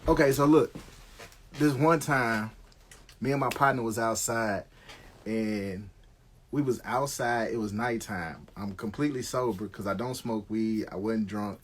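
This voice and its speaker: A man's voice: on the low side, a little slow, slight background noise. A middle-aged American man telling stories casually on a live stream, slangy and conversational, swearing freely.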